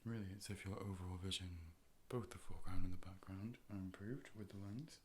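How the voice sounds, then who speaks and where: A man playing a calm, polite robot A.I. optician in an ASMR role play, speaking in whispers and soft, measured, clinical tones into a close microphone. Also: sits low, slight background noise, lightly acted. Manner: measured, formal, procedural, soothing. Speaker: ASMR performer playing an eye doctor. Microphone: close-up ear-to-ear 3D microphone.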